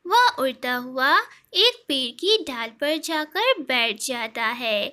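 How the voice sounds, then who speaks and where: Female storyteller for kids' tales: high-pitched, slow-paced, very animated scripted narration.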